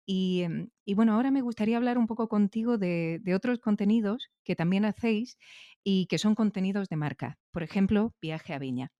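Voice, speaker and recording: A woman's voice: mid-range voice, fairly quick, mellow, no echo, dull audio. Dry-sounding woman interviewing. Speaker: host, interviewer. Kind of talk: conversational podcast interview.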